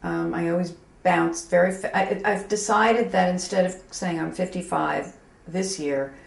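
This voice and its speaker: A woman in her mid-fifties speaks in a low, gravelly voice, candid and self-deprecating, pausing often with fillers and restarting her sentences. The recording comes from an echoey room with a faint hum underneath. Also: steady tone. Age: 50s. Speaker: graphic designer, interviewee. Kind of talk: candid reflective interview talk.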